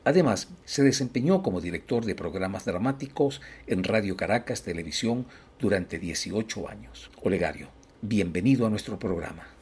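A man hosting an interview, speaking in a measured way with frequent hesitations. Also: lowish voice, lively intonation, darkish tone, slightly rough. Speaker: podcast host. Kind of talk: hosted interview.

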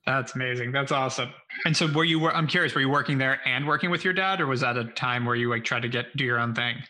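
A man's voice: medium pitch, quick pace, sharp tone. A man hosting a podcast interview, asking questions eagerly and upbeat. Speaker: podcast host.

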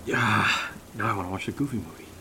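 A man's voice: fairly low pitch, fast, gravelly, bright, forceful tone, a little hiss or hum, heavily acted. An actor playing an anxious young man who stammers and mutters to himself in an audio drama. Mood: nervous muttering. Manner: jittery muttering, stammering, self-talk. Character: anxious, rambling young man nervously searching alone.

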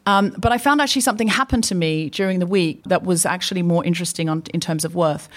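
A woman co-hosting a live comedy podcast on stage, chatty and anecdotal, acting out both sides of the conversations she retells. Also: medium pitch. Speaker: podcast co-host. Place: live stage before an audience.

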